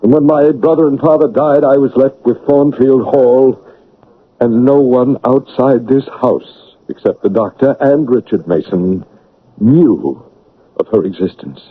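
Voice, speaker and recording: An actor playing an anguished, brooding English gentleman with a dark secret in a classic radio drama, husky, pleading and impassioned. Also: medium pitch, a little slow, close to an everyday voice. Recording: narrow, tinny old radio broadcast.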